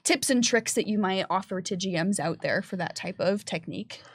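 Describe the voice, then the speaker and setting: A woman's voice: mid-range voice, moderate pace, dry recording. A woman hosting a casual live-streamed panel discussion. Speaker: panel host relaying chat questions.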